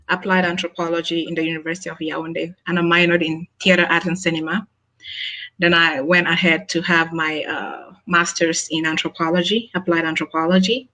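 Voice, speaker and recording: A woman's voice: fairly low pitch, steady tone, normal speed, sharp tone, low-quality recording. A woman from Cameroon, a nurse practitioner, introducing herself on a panel earnestly, with many fillers.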